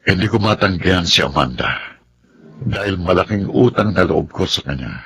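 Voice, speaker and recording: Conflicted stepdad in a radio soap, really deep, subdued, noisy audio. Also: male voice, lively intonation, moderate pace, fairly bright tone. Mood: troubled, resigned. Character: uneasy, conflicted stepfather, indebted and cornered. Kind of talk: Filipino radio drama acting. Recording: noisy radio drama recording.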